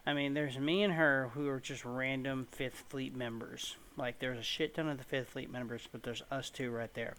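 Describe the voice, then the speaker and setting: A man's voice: medium pitch, steady tone, normal speed, hardly any pauses, a bit bright, a little hiss or hum, rough recording. A man giving casual, rambling let's play commentary as he hosts a gaming video, informal in his wording. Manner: rambling, informal, mild swearing.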